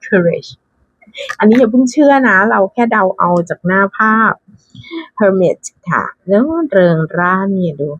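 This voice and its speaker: A woman's voice: medium pitch, animated, unhurried, breathy edge, dry recording, highs cut off. A woman giving casual, chatty unboxing commentary, thinking aloud with frequent exclamations and dropping English card names into her talk. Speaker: tarot deck reviewer.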